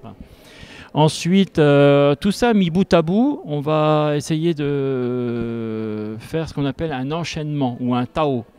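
A man in his fifties speaking as an instructor in an interview, explaining step by step and haltingly into a handheld microphone. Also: fairly high pitch, darkish tone, clear, resonant tone. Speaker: tai chi instructor. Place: large school gymnasium with a group behind. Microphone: handheld reporter microphone.

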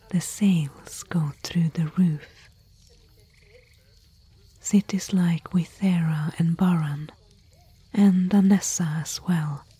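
A woman playing a thoughtful, bookish expedition companion in gentle, musing, whispery ASMR roleplay. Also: fairly low pitch, no echo, heavily acted. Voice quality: breathy, whispery. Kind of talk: soft-spoken ASMR roleplay.

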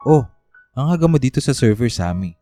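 A man's voice: medium pitch, very animated, fairly quick, mellow, faint music behind, lightly acted. A man playing a laid-back, teasing young Filipino man in a radio drama, talking casually. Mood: friendly. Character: laid-back, joking young man, helpful online friend.

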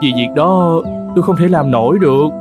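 A man's voice: fairly high pitch, brisk, natural voice. A man voicing a weary old horse in a cartoon folk tale, plaintive and resigned. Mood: dejected, resigned. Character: weary, despondent old male horse, loyal and cast out. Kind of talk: animated folk tale dialogue. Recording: music under the voice.

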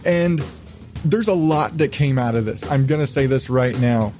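A man's voice: medium pitch, normal speed, darkish tone, soft background music. A man hosting a podcast in casual, profane chat, rambling along with irreverent, self-mocking asides. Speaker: podcast host.

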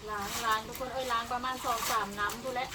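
A woman vlogger with a very high voice and an Isan Thai accent talks casually to her audience. She is folksy and keeps turning to her viewers as she goes. The outdoor recording has clear background noise. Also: steady tone, rapid-fire. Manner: folksy, addresses viewers often. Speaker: vlog host. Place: outdoors in a rural garden.

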